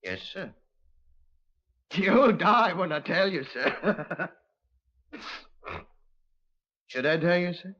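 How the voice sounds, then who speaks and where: An actor in a 1950s radio drama playing a dim, meek young crook, hesitant and deferential, with ungrammatical speech. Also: male voice, high voice, expressive, drawn-out words, long pauses, fairly bright tone, heavily acted. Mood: sheepish, eager. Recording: dull lo-fi 1950s radio recording.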